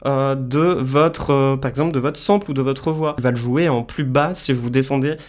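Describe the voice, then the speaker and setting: A man explaining music software step by step in a casual tutorial style. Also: mid-range voice, normal speed. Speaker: music production tutorial presenter.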